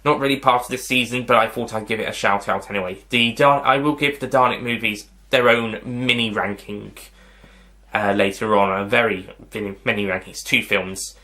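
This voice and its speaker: A man's voice: fairly low pitch, fairly even intonation, normal speed, pushed and bright. A man hosting a casual video review, talking to viewers in a rambling, hesitant way and often rewording himself. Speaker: host of a video review channel.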